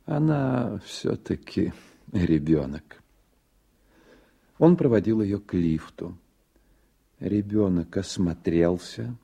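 A man narrating a literary radio drama in a measured, reflective manner. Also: lowish voice, creaky, mellow, breathy edge.

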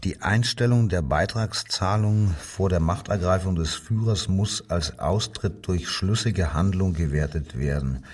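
Low-voiced, menacingly jovial Nazi interrogator. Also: male voice. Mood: probing, accusing. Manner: insinuating, drawn-out questions with mocking chuckles. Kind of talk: German radio crime drama.

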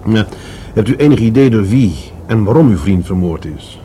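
An actor in a Dutch radio drama playing a blunt, inquisitive police investigator. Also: male voice, on the low side, expressive, moderate pace, mellow, a little hiss or hum, lightly acted.

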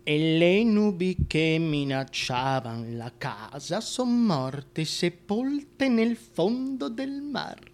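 An actor playing a bitter, scheming, deformed Shakespearean duke who pities himself, moving between declaimed verse and hushed confession in a radio drama. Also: male voice, sits high, big pitch swings, drawn-out words, heavily acted. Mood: brooding, sardonic. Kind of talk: theatrical radio drama monologue.

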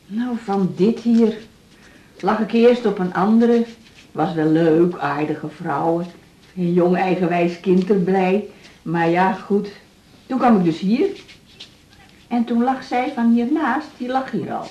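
A woman's voice: mid-range voice, takes it slowly, dark tone, lo-fi sound, lightly acted. An actress playing a lonely, plain-spoken older woman who is a hospital patient in a radio drama. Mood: plaintive, chatty. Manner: plain-spoken, wheedling, folksy. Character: chatty, lonely, frail but cheeky older female hospital patient. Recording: roomy, echoing radio drama studio.

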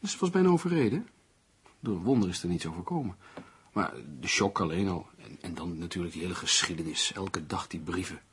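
A concerned, sceptical friend in a radio drama. His voice is lowish and very husky, lively in pitch, with an earnest questioning manner. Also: brisk, exaggerated voice. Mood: shaken, concerned. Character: concerned, sceptical male confidant defending a troubled woman. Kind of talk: Dutch radio play acting.

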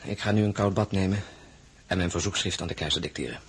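An actor playing a proud, insistent and tender husband, a marshal turned crown prince, coaxing deliberately in an audio drama. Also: male voice, sits low, a little hiss or hum, natural voice. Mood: earnest, insistent. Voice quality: husky. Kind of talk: Dutch audio drama acting.

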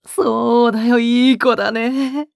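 A man playing an obsessive young captor in an intimate audio drama, coaxing in a husky whisper with sweetly condescending menace. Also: very high-pitched, fairly even intonation, no room sound, clean studio sound, heavily acted. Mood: coaxing, possessive. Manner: slow, coaxing, condescendingly gentle.

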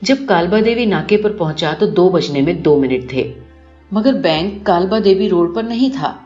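A woman reading a short story aloud in an expressive, scripted narration style. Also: lowish voice. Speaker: audiobook narrator. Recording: muffled, roomy recording with music behind. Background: music.

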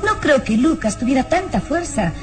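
A young aristocratic daughter in a radio melodrama, with light music behind. Her high voice swings widely with worry. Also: sing-song, moderate pace. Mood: anxious. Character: anxious young daughter of a baron. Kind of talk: radio drama acting.

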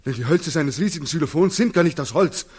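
A man's voice: a little high, animated, quick pace. An actor playing a tormented returning soldier in a 1940s radio drama, theatrical and obsessive as he recounts a nightmare. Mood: feverish, insistent. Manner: theatrical, obsessive, swinging from hushed murmurs to frenzied cries. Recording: muffled, husky 1940s radio recording.

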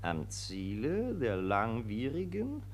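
Casting: insistent press hound for vintage radio play, middle-pitched, very animated, brisk and badgering. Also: male voice, a little hiss or hum. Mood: bossy, grandiose. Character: pushy, sensation-hungry male newspaper reporter. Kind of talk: 1940s German radio drama acting.

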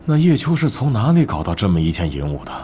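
Stern middle-aged boss, commanding and calculating, animated delivery for audio drama. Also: male voice, lowish voice, fast, faint music behind. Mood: probing. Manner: commanding, interrogating. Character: stern, scheming middle-aged male club manager. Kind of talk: Mandarin audio drama acting.

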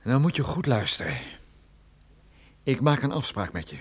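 A tough male private detective in a radio play. He is sardonic and threatening, with a medium-pitched voice that rises and falls a great deal. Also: big pitch swings, moderate pace, breathy edge. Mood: cold, menacing. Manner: menacing, dryly sarcastic. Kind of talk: radio drama acting with first-person narration.

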